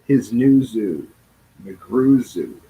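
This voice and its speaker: Slow-paced man reading a rhyming children's storybook. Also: fairly low pitch, big pitch swings, dark tone, low-quality recording. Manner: stumbles and corrects himself while reading. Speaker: storybook reader. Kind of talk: read-aloud children's storybook in rhyme.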